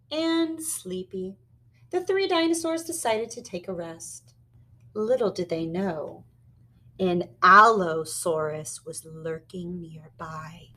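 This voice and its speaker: A woman reading a children's story aloud expressively and pausing to explain words like a teacher. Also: fairly low pitch, bright, forceful tone, slight background noise. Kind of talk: animated children's read-aloud with word lessons.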